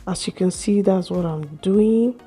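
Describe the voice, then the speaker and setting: A young woman with a slightly hoarse voice, chatting slowly through a hair care vlog in a small room. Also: medium pitch, dark tone, faint music behind. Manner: slow, chatty explaining with fillers. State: a little hoarse from a cold. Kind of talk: casual how-to vlog.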